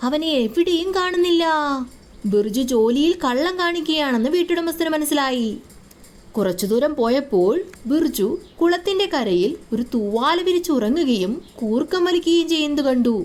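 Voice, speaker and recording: A woman's voice: sits high, lively intonation, normal speed, a little mellow. A woman giving scripted narration for a children's moral story.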